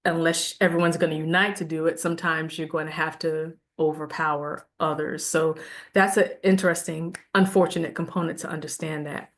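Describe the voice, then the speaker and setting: Woman giving a lively spoken lecture. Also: low voice, a little fast. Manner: conversational, animated, laughs now and then. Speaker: lecturer teaching a class.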